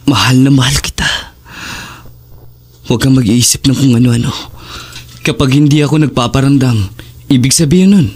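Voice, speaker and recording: A man with a Filipino accent playing a loving, apologetic boyfriend in an audio drama, speaking in a soft, reassuring way. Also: mid-range voice, moderate pace, pauses often, husky, lightly acted. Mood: caring, hurried. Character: loving, apologetic boyfriend keeping secrets about his work. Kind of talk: Tagalog audio drama acting.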